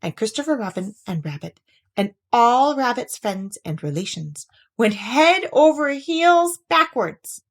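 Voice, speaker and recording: Slow, expressive woman reading a storybook. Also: on the high side. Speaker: story reader. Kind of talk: expressive read-aloud storybook narration.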